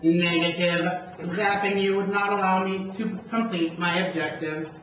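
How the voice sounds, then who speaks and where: A man's voice: high voice, fairly even intonation, measured pace, fairly bright tone, slight background noise. A man voicing a polite, literal-minded robot in an amateur puppet show, speaking in a stiff, formal, wordy way. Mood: flat refusal, logical. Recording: very echoey, distant, muffled room.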